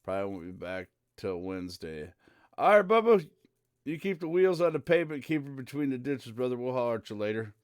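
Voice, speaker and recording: A man's voice: medium pitch, sing-song, brisk, clear, resonant tone, no room sound, clean studio sound. A man from central Minnesota, chatting casually and rambling on a live stream. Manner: rambling and easygoing, with frequent "uh" fillers.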